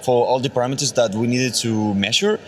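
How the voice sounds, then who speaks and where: A male student competitor from Spain explaining in an interview, with slightly halting non-native English phrasing. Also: mid-range voice, a little mellow, a little hiss or hum.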